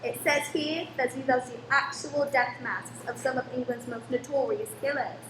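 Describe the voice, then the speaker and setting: Naive, curious young daughter, played by a woman, high girlish voice, radio drama, echoey room. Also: normal speed, lightly acted. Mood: cheerful, curious. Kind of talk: radio play acting. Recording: roomy, echoing, faint background noise.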